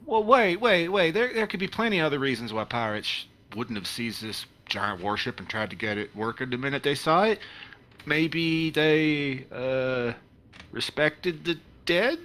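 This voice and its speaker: A man's voice: fairly high pitch, expressive, a little slow, sharp tone. A man playing an amiable, practical starship engineer, conversational in a sci-fi comedy play. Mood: pleading.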